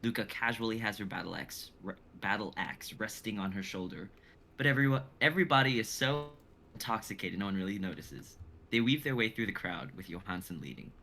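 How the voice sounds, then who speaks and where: Low, bright-voiced young male narrator. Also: a little fast, creaky, a little hiss or hum. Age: young adult. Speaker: narrator reading stage directions. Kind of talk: dramatic scripted narration, read live.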